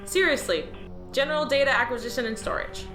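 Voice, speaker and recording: A woman's voice: high voice, brisk, roomy sound, music in the background, lightly acted. A woman playing a cheerful, naive new alien employee, chipper and earnest. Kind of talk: audio drama.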